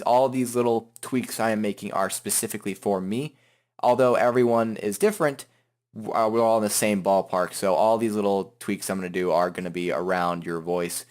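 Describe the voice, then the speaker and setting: Low-voiced man giving a casual tutorial. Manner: informal, joking, self-deprecating. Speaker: tutorial host. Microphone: headset microphone.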